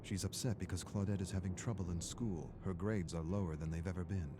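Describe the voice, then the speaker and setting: A man narrating a scripted story in a measured, dramatic storytelling manner. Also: low-pitched, fairly even intonation, background noise. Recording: music under the voice. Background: background music.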